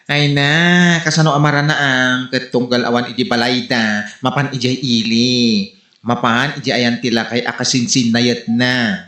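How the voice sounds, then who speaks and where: A man's voice: medium pitch, steady tone, normal speed, pushed and bright, lightly acted. A man acting a complaining, gossipy neighbor with an Ilocano Filipino accent in a comedy drama. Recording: roomy, echoing room sound.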